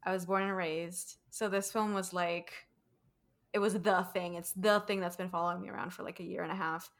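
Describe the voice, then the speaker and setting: A woman's voice: lowish voice, fairly even intonation, fairly quick. A young woman from Colombia, hosting a casual film review chat, thoughtful and full of fillers. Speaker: host.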